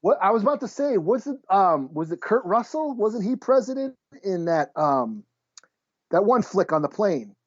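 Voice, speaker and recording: A man's voice: sits high, sing-song, moderate pace, no room sound. A man co-hosting a podcast in casual chat, offhand and self-deprecating, on a dull lo-fi microphone. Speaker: podcast co-host.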